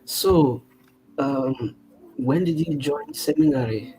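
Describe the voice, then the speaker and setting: A man with a Nigerian accent hosting a casual online interview, relaxed and chatty, with many short acknowledgements. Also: mid-range voice, slow pace, faint music behind, poor audio. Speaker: livestream host.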